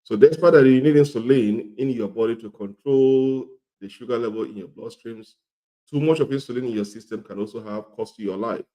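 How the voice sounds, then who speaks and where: A middle-aged man with a cold and a slightly hoarse voice, teaching an informal health lesson, repeating his points and often asking "okay?". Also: medium pitch, brisk, mellow, rough recording. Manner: repetitive, often checks in with "okay?". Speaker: health educator.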